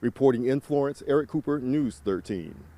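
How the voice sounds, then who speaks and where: A man reporter narrates a news package in an even, measured tone. His voice is warm and mellow, with scripted phrasing. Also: medium pitch. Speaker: field reporter.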